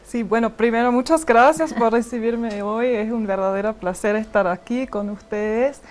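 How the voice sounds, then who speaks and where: A Swiss woman guest, not a native Spanish speaker, with a mixed Venezuelan and Argentine accent in her Spanish, answering warmly and politely with small hesitations. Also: fairly high pitch, moderate pace. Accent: Latin American Spanish learned abroad, mixed Venezuelan and Argentine. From Switzerland. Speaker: ambassador guest. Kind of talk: interview answers.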